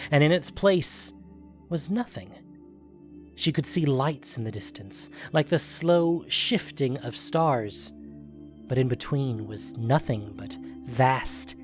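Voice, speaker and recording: A man narrating a scripted fantasy story as the narrator of an audio production. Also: medium pitch.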